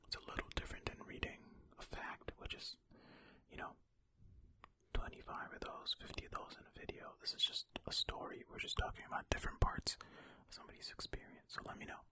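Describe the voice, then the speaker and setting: An ASMR host whispering ear to ear in a tentative, musing way, reading and commenting close to the microphone. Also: pushed and bright. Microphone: close stereo ASMR microphone.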